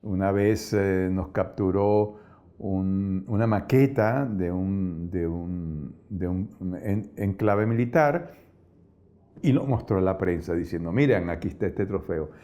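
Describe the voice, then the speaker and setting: A man recalling events in a halting, conversational interview style. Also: low-pitched, warm tone, a little hiss or hum.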